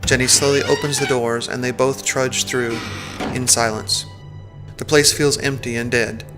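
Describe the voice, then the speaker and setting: A man's voice: mid-range voice, level tone, moderate pace, over music. A man narrating a scripted audio drama in the present tense.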